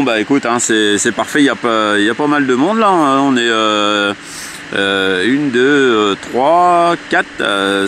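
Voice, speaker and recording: A man chatting casually as an amateur radio operator, often repeating his words. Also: mid-range voice. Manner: repeats himself. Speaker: amateur radio operator filming an antenna test. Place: outdoors at an elevated open spot. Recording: noisy outdoor ambience.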